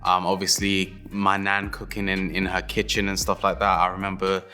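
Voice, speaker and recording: Deep, bright-edged London man reminiscing. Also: monotone, moderate pace. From East London. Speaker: interviewee. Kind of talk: casual reminiscence.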